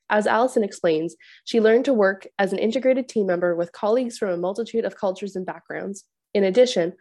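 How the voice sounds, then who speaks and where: A woman's voice: mid-range voice, darkish tone. A woman hosting an interview podcast, reading a guest introduction and asking questions with enthusiasm. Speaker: podcast host.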